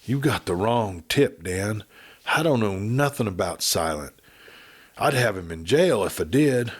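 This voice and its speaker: A man's voice: on the low side, lively intonation, measured pace, breathy edge. A man acting a whining, cowardly Western sheriff. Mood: desperate pleading. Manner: pleading, Western drawling dialect. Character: cowardly fat male sheriff, whining and terrified. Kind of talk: Western audiobook character acting.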